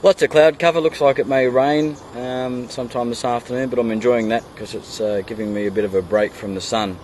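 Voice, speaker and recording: An Australian man chatting casually as an amateur radio operator, pausing often, recorded outdoors. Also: medium pitch. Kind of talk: casual radio chat with spelled callsigns. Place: outdoors in a kayak on a creek. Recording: outdoor recording with steady background noise.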